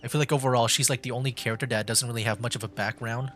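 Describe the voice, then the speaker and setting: A young man with a bright, medium-pitched voice doing casual livestream commentary. His phrases are loose and hesitant, and faint game music plays behind him. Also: fairly even intonation, racing. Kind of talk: casual game commentary, reading dialogue choices aloud.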